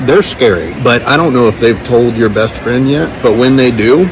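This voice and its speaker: A man's voice: medium pitch, animated, normal speed. A man telling a story in a casual, comedic rant, informal and emphatic. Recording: clear background noise.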